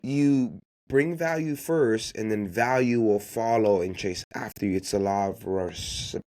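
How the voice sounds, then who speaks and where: A man with a fairly low voice and Haitian Creole as his first language hosts a motivational business podcast. He talks off the cuff and emphatically, repeating his key phrases and throwing out rhetorical questions to drive points home. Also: unhurried, mellow. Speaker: podcast host giving networking advice. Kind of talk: unscripted motivational business talk.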